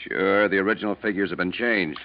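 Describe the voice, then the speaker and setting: A man's voice: low voice, brisk, gravelly. An American actor playing a troubled, conscientious policeman in a 1940s radio drama, blunt and earnest. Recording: dull old 1940s radio recording.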